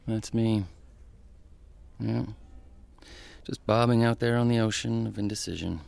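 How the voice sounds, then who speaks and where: Audio drama role: self-pitying, indecisive guy, very creaky voice, downbeat musing. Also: on the low side, fairly even intonation, measured pace, darkish tone, no room sound. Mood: wistful. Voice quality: gravelly, creaky. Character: wistful, self-pitying, indecisive man, just drifting. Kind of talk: naturalistic satirical audio drama acting.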